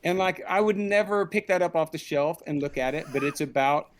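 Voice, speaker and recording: A man's voice: a little high, a little fast, a little hiss or hum. Middle-aged man being interviewed. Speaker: interviewed director and audio drama creator. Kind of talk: conversational interview. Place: indoor room at home, on a group video call.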